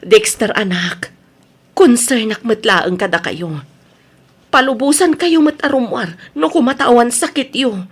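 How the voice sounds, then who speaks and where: A woman's voice: fairly high pitch, lively intonation, measured pace, breathy edge. A woman playing an anxious, protective mother in an Ilocano radio drama, speaking emotionally and pleadingly with an Ilocano accent. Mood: worried. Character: anxious, protective mother pleading over her sick sons.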